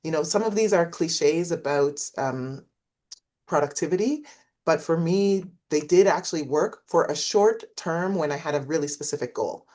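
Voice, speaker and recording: Low-voiced woman telling her story. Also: normal speed, darkish tone. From Canada. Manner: reflective, storytelling, acts out quoted voices. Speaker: guest, professor. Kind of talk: interview conversation.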